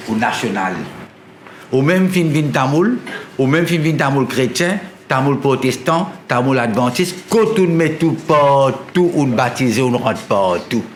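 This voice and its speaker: Man, mid pitch, declamatory speaker, roomy sound with faint noise. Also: expressive, unhurried.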